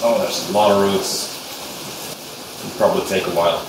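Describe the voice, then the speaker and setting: A man's voice: low-pitched, moderate pace. A young Swedish man with a Swedish accent, talking hesitantly while working on a bonsai, thinking aloud with many fillers in a casual tutorial. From Sweden. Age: young adult. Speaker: bonsai hobbyist vlogger. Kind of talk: casual hands-on tutorial chat. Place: small glassed sunroom indoors with rain outside. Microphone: camera mic from a distance. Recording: echoey distant camera audio with rain hiss. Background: steady rain noise.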